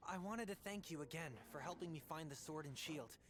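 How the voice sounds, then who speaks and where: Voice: medium pitch, fairly quick, close to an everyday voice. An actor voicing an eager, kind young boy who wants to be an adventurer, youthful and earnest, in a video game. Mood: excited, grateful. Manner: earnest, animated.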